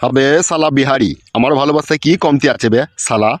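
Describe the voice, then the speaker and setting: Man playing a fast-talking, grumbling old village husband. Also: medium pitch, no room sound, clean studio sound, lightly acted. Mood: indignant boasting. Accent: rural West Bengal. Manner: cursing, grumbling, indignant. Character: aggrieved, boastful, foul-mouthed old village husband. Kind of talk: comic cartoon dialogue.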